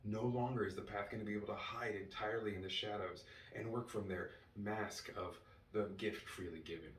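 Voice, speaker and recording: A man giving an enthusiastic fan review as a solo host, excited and emphatic, drawing out key words. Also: fairly low pitch, brisk. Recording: roomy, distant mic with faint noise.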